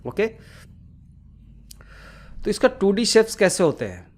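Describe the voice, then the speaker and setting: Higher-voiced man teaching step by step. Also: wildly swooping pitch, a little slow, darkish tone. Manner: explanatory, asks and answers own questions; mixes English technical terms into speech. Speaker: tutorial instructor. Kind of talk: informal step-by-step tutorial narration.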